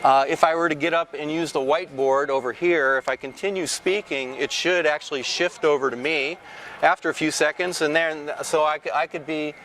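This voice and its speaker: A man in his fifties giving an informal, explanatory product demonstration as a company representative, with halting delivery and frequent false starts. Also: on the high side, steady tone, fairly bright tone, low-quality recording. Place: large indoor exhibition hall booth. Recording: clear ambient trade show floor noise.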